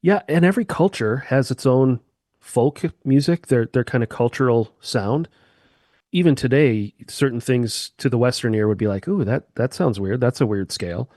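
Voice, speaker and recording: A man's voice: mid-range voice, expressive, moderate pace, darkish tone, dry recording. A man, a musician guest on a chat podcast, explaining eagerly with sweeping comparisons into a close mic.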